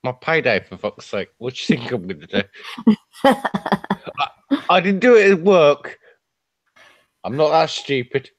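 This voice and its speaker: Tipsy man, blunt and lively. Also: a little high, unhurried, long pauses, no echo. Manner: blunt, swearing, joking. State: tipsy from drinking. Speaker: friend joining the stream chat. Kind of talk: casual chat.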